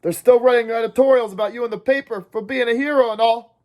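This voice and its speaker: A man's voice: extremely high pitch, lively intonation, a little fast, gravelly, pitched above the performer's own voice, full character voice. A man voicing a brash, hotheaded teenage tough. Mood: forced cheer. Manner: urgent, brash, sneering drawl.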